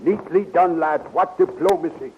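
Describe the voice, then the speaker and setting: Man playing an older scientist mentor, high voice, wise, old radio serial, noisy muffled recording. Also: moderate pace, close to an everyday voice. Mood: approving. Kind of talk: 1930s radio drama acting.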